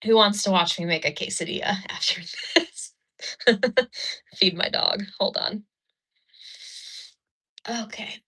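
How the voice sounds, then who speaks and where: A woman's voice: medium pitch, takes it slowly, long pauses, pushed and bright, breathy edge. A woman hosting a casual livestream, chatting with viewers and laughing while she does her makeup, recorded on a phone. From Ukraine. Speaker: livestream host. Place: indoors at a mirror.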